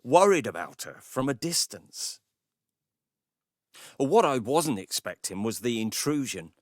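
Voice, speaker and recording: A man's voice: mid-range voice, sing-song, measured pace, no echo, studio quality. An actor playing an emotional, kindly cab driver, telling his story in a confiding, colloquial way in an audio drama monologue. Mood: indignant.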